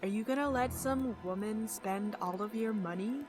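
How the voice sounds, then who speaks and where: An actress playing a young college senior at a job interview in a radio play, emphatic and colloquial. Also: female voice, medium pitch, moderate pace, darkish tone, faint music behind, close to an everyday voice. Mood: brash, indignant. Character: female college senior job applicant, turning brash bro.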